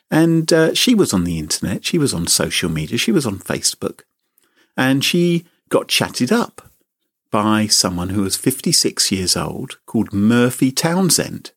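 Mock-outraged man telling a story. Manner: mock-indignant, theatrical, sweeping pitch. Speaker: podcast host. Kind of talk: comic storytelling chat.

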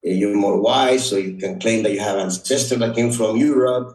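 A man's voice: lowish voice, darkish tone. Echoey, distant Honduran-accented man telling stories. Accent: Honduran Spanish. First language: Spanish. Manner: halting, reflective, often repeats "you know". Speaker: podcast guest. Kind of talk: personal storytelling in conversation. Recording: roomy echo, distant microphone.

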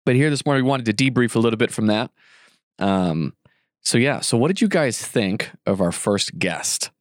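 A man's voice: mid-range voice, expressive, normal speed, no room sound, studio quality. A congested man hosting a casual podcast, rambling with fillers and restarts. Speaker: podcast host.

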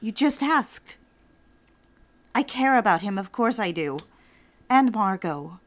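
Young secretary daughter in a radio drama, earnest n a bit defensive, dry close sound, normal womans pitch. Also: animated, slow pace, dry recording. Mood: pleading, earnest. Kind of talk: audio drama acting with first-person diary narration.

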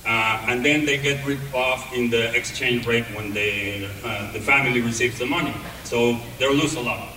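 A man with a non-native English accent giving a talk to an audience, speaking haltingly with many pauses and restarts. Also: mid-range voice, steady tone, sharp tone, a little hiss or hum. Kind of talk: presentation to an audience. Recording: echoey hall, voice from far off.

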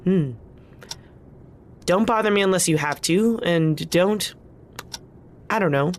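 A weary minder in an audio drama, speaking very slowly in a dry deadpan. She sounds exasperated with her companions, over audible background noise. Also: lowish voice. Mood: dry exasperation.

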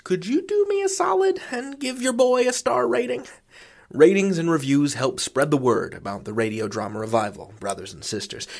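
A man hosting a podcast in a chatty, informal, jokey style with quirky, self-deprecating asides. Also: medium pitch. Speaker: podcast host. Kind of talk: chatty scripted podcast outro. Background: faint music.

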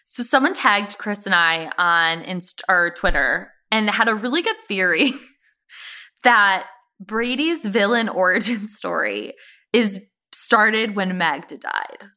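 A woman's voice: medium pitch, expressive, slow, sharp tone. A woman co-hosting a podcast in casual, opinionated chat, blunt and emphatic, repeating phrases and swearing freely. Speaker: podcast co-host.

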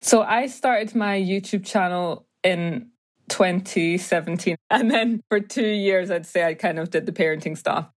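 Low-voiced Scottish woman, chatty guest. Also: unhurried. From Scotland. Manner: chatty, with frequent light laughs and fillers. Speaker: guest, YouTube creator. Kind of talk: casual interview chat.

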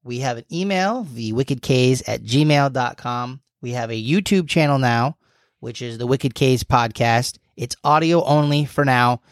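A man's voice: mid-range voice, unhurried, dry recording. Chatty man co-hosting a podcast, dry mic. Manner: chatty, laughs easily, teasing, rambles with fillers. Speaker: podcast co-host. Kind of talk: casual podcast chat.

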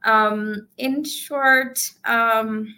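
A woman's voice: fairly high pitch, steady tone, drawn-out words, bright, forceful tone. A woman from India, a business coach, speaking as a guest in an interview in an earnest, positive way. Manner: earnest, positive, many fillers. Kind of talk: casual interview.

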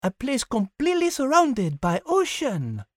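High-voiced, refined French hostess. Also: female voice, wildly swooping pitch, unhurried, no echo, clean studio sound, exaggerated voice. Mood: poised, teasing. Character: refined, gently teasing French female storytelling host. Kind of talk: scripted podcast character hosting.

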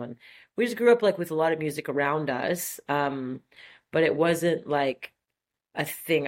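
A woman in her forties from Montreal, a native French speaker, talking enthusiastically as an interview guest with many fillers and restarts. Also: sits low. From Montreal, Canada. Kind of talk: casual interview conversation.

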